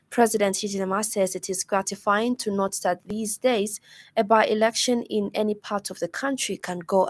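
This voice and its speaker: A woman with a Zambian accent reads a scripted news bulletin in a formal, measured way. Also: medium pitch. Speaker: radio news reader.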